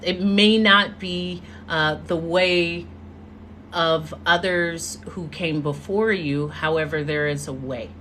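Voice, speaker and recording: A woman giving an earnest motivational talk as a live stream, telling her story with drawn-out pauses. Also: lowish voice, bright, forceful tone, a little hiss or hum. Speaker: motivational speaker. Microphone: phone or webcam mic.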